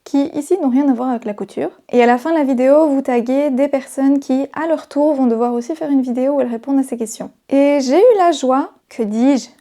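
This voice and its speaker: A woman's voice: sits high, a little fast, hardly any pauses, warm tone. A woman in her thirties, a sewing vlogger chatting casually to her viewers with frequent hesitations. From Belgium, raised in Brazil. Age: 30s. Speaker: sewing YouTuber.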